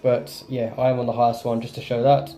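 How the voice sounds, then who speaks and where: A man's voice: mid-range voice, flat delivery, brisk, dark tone. A man giving casual gameplay commentary as host of a gaming video. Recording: faint game music behind.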